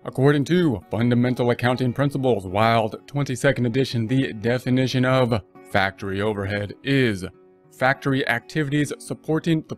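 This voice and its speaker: Man explaining accounting over faint guitar. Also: mid-range voice. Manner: conversational, restarts mid-phrase, thinks aloud. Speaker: accounting instructor. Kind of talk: casual explanatory lecture over slides.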